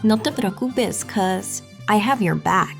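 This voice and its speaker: Creaky, slow laid-back cousin. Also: female voice, mid-range voice, expressive, faint music behind. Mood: reassuring. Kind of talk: audio fiction drama acting.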